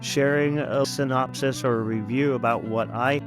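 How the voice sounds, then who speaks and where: An American man giving measured, explanatory answers as a guest in a conversational interview. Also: mid-range voice, steady tone, music in the background. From United States. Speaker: podcast guest, teacher and content creator.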